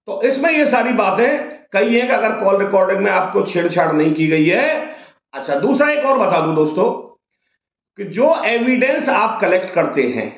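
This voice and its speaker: Man, high voice, didactic legal explainer, question-and-answer delivery, echoey dull recording. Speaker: advocate explaining law to viewers. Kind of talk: informal legal explainer addressed to camera. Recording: dull, roomy, echoing sound.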